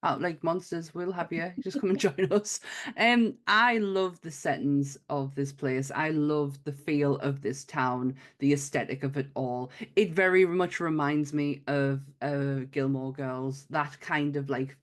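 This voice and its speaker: A woman's voice: sits low, fairly even intonation, unhurried. A woman with a light Wearside accent from north-east England, co-hosting a podcast in giggly, rambling casual chat. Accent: light Wearside (Sunderland–Durham). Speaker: podcast co-host.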